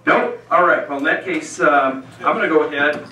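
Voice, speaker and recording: A man's voice: a little high, moderate pace, recorded from a distance, noisy background. A man moderating a meeting and giving an off-the-cuff rebuttal speech in a restaurant, rambling and chatty with lots of fillers and asides. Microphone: handheld mic set down, voice carrying from a distance. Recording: echoey restaurant room, picked up from afar.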